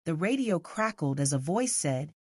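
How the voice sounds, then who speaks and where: A woman's voice: sits low, big pitch swings, moderate pace, a little mellow, slightly rough, no room sound. Woman narrating a children's story, dry sound.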